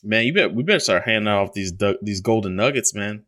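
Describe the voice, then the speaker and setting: A man's voice: on the low side, animated, fairly quick, fairly bright tone. A man hosting a podcast, chatting loosely and rambling, dropping a casual swear now and then. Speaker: podcast host. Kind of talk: casual chat.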